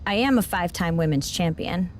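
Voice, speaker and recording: A woman playing a proud, guarded veteran wrestler in a video game cutscene, with a knowing, deliberate delivery. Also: fairly low pitch, slight background noise, natural voice.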